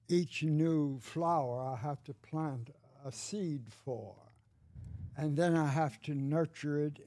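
Slow wry man in his eighties. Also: medium pitch, warm tone, no room sound. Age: 80s. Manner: wry, bawdy storyteller, slow and reflective. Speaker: podcast guest. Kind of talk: casual chat.

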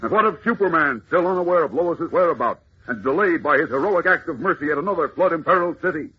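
A man narrates a 1940s radio serial with theatrical urgency. His voice is fairly high for a man and sounds dramatic. The vintage recording is muffled, with faint noise. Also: lively intonation, quick pace.

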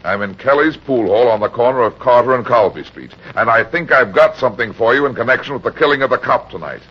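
Dull old radio recording, shrewd heavyset private eye, American, hard-boiled, husky, animated pitch. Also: male voice, quick pace. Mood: commanding. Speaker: actor playing detective and narrator. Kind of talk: old-time radio detective drama acting.

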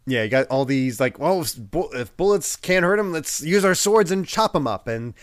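A man's voice: on the high side, moderate pace, no room sound, clean studio sound. A man hosting a casual podcast, a little congested from a head cold, laughing often as he chats. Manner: laughing, animated, acts out quotes. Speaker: podcast host.

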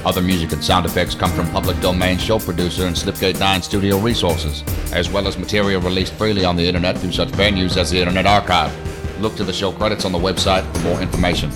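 Male announcer, very low register, scripted podcast credits read over a music bed. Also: little pitch movement, fast talker. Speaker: announcer reading show credits. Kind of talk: scripted narration. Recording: music behind the voice.

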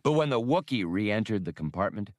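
A man's voice: fairly low pitch, lively intonation, brisk, a little mellow, dry recording, studio quality. A man reading scripted adventure fiction as an audiobook narrator. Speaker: audiobook reader.

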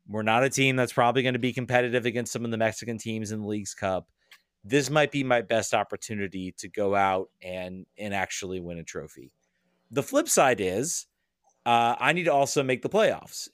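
Hesitant low-voiced man, dry sound. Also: brisk, no echo. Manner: hesitant, repeats words while thinking aloud. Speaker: podcast guest. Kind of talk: casual chat.

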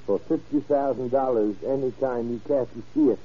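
An actor playing a courteous, formal businessman buying drugs in 1940s radio drama, speaking in deliberate, stilted polite phrases. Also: medium pitch, natural voice. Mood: businesslike, guarded. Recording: muffled, husky old radio recording.

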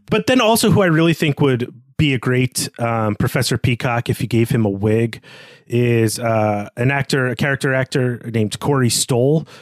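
A man co-hosting a podcast, speaking casually in a halting, thoughtful way. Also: medium pitch, no room sound, studio quality. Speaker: podcast co-host. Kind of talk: casual discussion.

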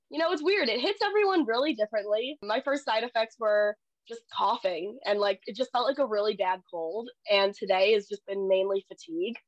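A woman's voice: fairly high pitch, no room sound. Slightly stuffy young woman on dull, dry audio. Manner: storytelling, animated, many "like" fillers. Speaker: podcast guest. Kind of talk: casual podcast chat.

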